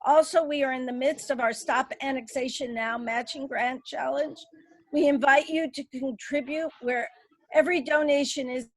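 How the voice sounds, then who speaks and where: A woman co-moderating a webinar, reading a formal introduction in a measured tone and then giving the audience instructions. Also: sits high, no echo, low-quality recording. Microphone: webinar call.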